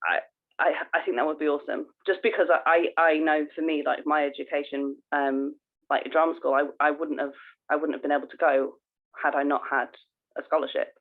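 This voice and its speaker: A woman co-hosting a casual podcast, halting and earnest as she thinks aloud. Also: low-pitched, steady tone. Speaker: podcast co-host. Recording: tinny, phone-like narrow band.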